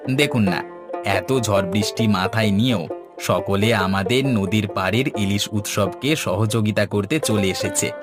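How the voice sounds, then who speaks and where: A man's voice: fairly low pitch, unhurried, natural voice. A man voicing an earnest, brave village man in a cartoon, speaking in a declamatory, respectful way. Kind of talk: Bengali cartoon voice acting. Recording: music playing behind the voice.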